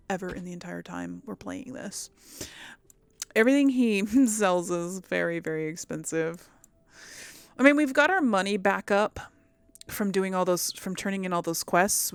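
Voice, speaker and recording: A woman's voice: fairly low pitch, creaky. A woman giving casual let's play commentary, musing aloud and rambling to her viewers. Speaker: gaming video host.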